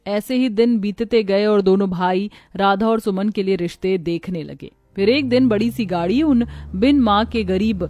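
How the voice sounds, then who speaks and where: A woman narrating a children's cartoon story in a gentle, storytelling way. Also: medium pitch, moderate pace, hardly any pauses, no room sound.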